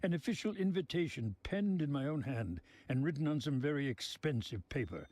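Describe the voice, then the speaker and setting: An actor playing a genteel, sly old mob boss in a video game, speaking in florid, deliberate phrases. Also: male voice, on the high side, lively intonation, a little mellow, no echo. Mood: wry, courteous. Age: older.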